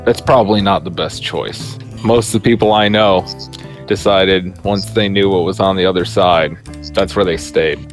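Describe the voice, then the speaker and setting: A man's voice: deep voice, moderate pace. A man talking casually as a player in an online tabletop role-playing game, describing and voicing his character's choices. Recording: faint music, online group call.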